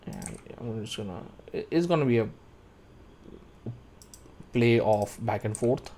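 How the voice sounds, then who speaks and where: A man in his thirties narrating a step-by-step software tutorial, explaining each action as he does it. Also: medium pitch, unhurried, a little hiss or hum. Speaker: tutorial instructor.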